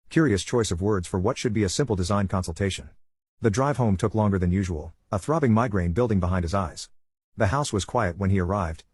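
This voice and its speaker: A man's voice: fairly low pitch, darkish tone, husky, dry recording, clean studio sound. A man reading a scripted dramatic story as its narrator.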